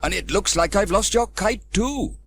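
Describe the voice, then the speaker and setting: A man voicing a proud, clumsy middle-aged minibus driver in a children's storybook, animated and exclamatory. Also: high voice, normal speed, slight background noise, pitched above the performer's own voice. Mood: pained, sheepish.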